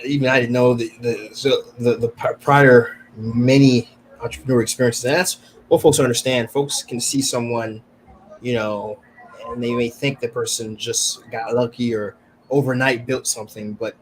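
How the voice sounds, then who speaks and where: A man hosts a relaxed online chat and asks his guest questions in a loose, encouraging way. He often repeats words as he thinks aloud. A light hiss of background noise sits under his voice. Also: mid-range voice, rough recording. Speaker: host. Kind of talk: casual live chat interview.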